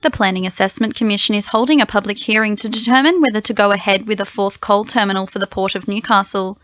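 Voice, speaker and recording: A woman's voice: mid-range voice, fast, a bit bright, no echo. A woman with an Australian accent reading a scripted radio news bulletin as the newsreader. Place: dry radio studio. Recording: slightly dull, lo-fi radio recording.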